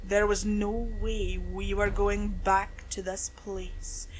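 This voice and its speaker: A woman's voice: mid-range voice, level tone, takes it slowly, slight background noise, low-quality recording. A woman reading a scripted first-person creepypasta story as narrator. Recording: music behind the voice.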